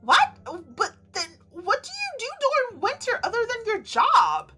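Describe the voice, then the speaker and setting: A woman's voice: very high-pitched, huge pitch swings, a little slow, pushed and bright, soft background music, pitched above the performer's own voice, full character voice. A woman voicing an eager, apologetic young heroine in a romance game, chatty and earnest. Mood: curious.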